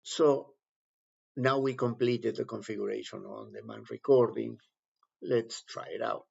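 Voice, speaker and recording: A man instructing step by step through a software tutorial, explaining in halting phrases. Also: mid-range voice, expressive, creaky, slightly rough. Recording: dull, lo-fi voice-over recording.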